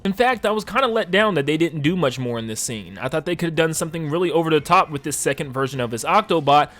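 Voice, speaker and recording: A man's voice: on the high side, a bit bright. A man giving casual, enthusiastic and emphatic video essay commentary as a conversational game reviewer. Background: music under the voice.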